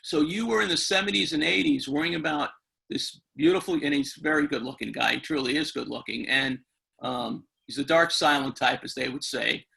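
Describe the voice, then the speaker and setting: A man's voice: fairly high pitch, animated, a little fast, vocal fry, pushed and bright, rough recording. A man hosting an informal webinar interview, rambling and circling back, often seeking agreement from his guests. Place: remote webinar call.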